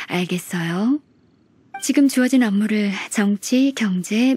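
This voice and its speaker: A woman playing a gentle, earnest, modest young secretary in a video game, speaking softly and politely. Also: medium pitch, husky. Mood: composed, businesslike. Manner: soft, polite, measured.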